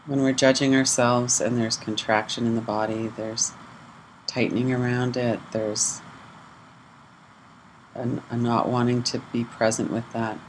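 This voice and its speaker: A person leading a guided mindfulness meditation in measured short phrases with pauses between them. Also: medium pitch, flat delivery, a little hiss or hum, low-quality recording. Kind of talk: guided mindfulness meditation, slow gentle instruction.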